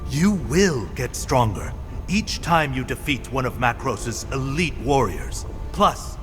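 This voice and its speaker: A good-natured, loyal weaponsmith talks earnestly in a fantasy game. His mid-range voice rises and falls a lot with feeling, over clearly audible music. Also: medium pitch, takes it slowly. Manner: expressive, earnest. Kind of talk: dramatic fantasy game acting. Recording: music behind the voice.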